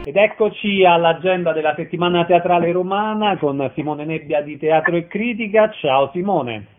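A man's voice: on the high side, normal speed, soft background music. A man presenting and interviewing as a radio host. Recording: thin phone-like radio sound.

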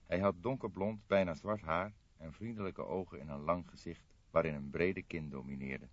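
A man's voice: low voice, moderate pace, no room sound. A man reading scripted audiobook narration of a detective story. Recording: dull, dry lo-fi recording.

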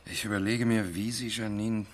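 A man's voice: fairly low pitch, level tone, moderate pace, lightly acted. A man playing a dry, sceptical investigator in a science fiction radio drama, terse and wary, with a sardonic edge. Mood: sceptical, brooding.

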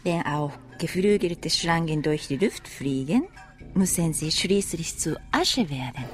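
Slow, enigmatic, coquettish showgirl. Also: deep voice, lively intonation, faint music behind. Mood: coy, enigmatic. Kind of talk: radio drama acting.

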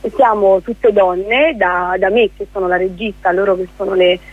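A woman's voice: medium pitch, steady tone, normal speed, dry recording, narrow, tinny audio. A woman speaking as a guest theatre director in a conversational radio interview, explaining things discursively.

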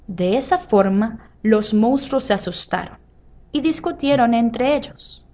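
A woman narrating a scripted children's story with dramatic pauses and drawn-out phrases. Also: a little high, animated, dark tone. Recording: faint background noise.

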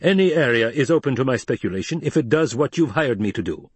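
A man's voice: medium pitch, animated, quick pace, husky, no room sound, pitched above the performer's own voice. A man voicing a shrewd, bony old adviser in an audiobook, incisive, probing and contemptuous. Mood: stern, insistent. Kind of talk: dramatized audiobook reading.

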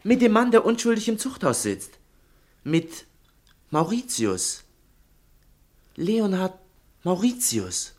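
A man's voice: high voice, very animated, slow, pauses often, darkish tone, a little hiss or hum, heavily acted. An actor in a German radio play playing a clever, earnest, evasive young male pupil, speaking precisely and politely. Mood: earnest, insistent.

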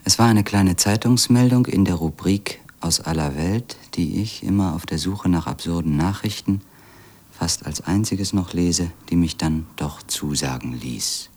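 An actor playing a brooding, wearily proud former editor who narrates a literary radio drama in a halting manner. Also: male voice, sits low, darkish tone, husky. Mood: matter-of-fact. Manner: halting, repeats words, trails into murmurs. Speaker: narrator and protagonist of a radio play.

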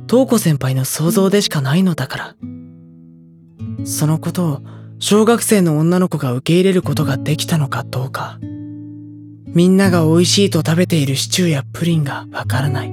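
A woman voicing a mild, low-voiced teenage boy. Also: normal speed, faint music behind, lightly acted. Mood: narrating. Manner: quiet, sober inner narration, flustered when caught. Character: mild, reserved teenage boy narrator, dry and sensible. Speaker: narrator and younger student. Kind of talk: anime drama CD narration.

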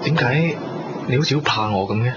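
An actor playing a confident, persistent young suitor with a Hong Kong accent, persuading in a radio drama. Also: male voice, mid-range voice, a little fast, very noisy, close to an everyday voice. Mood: earnest persuasion. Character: smooth-talking, persistent suitor courting taken woman. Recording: dull radio recording with music. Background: background music.

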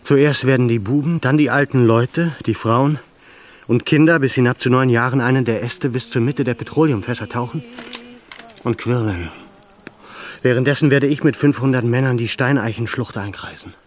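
An actor playing a resolute, commanding leader of a besieged mountain camp in a German radio play, speaking deliberately. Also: male voice, mid-range voice, natural voice. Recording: clear cricket noise behind.

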